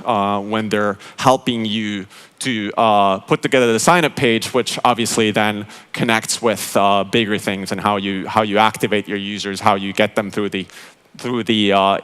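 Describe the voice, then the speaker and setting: Smooth-voiced man giving a talk. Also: lowish voice, a bit bright. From Finland. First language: Finnish. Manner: explanatory, halting with many fillers, addresses the audience directly. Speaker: conference speaker, interaction design director. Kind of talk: conference keynote talk.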